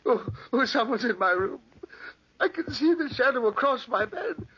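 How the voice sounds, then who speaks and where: A man's voice: very high voice, animated, moderate pace, bright, forceful tone, breathy edge, muffled recording, heavily acted. An actor playing a terrified, helpless old man, quavering and pleading in a 1940s radio horror drama.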